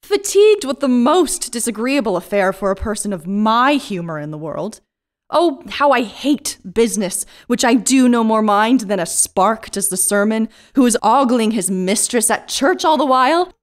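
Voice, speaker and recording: A woman's voice: on the high side, very animated, moderate pace. A woman playing a flighty, affected young society lady in a period audio farce, with airy, complaining airs. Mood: affected weariness.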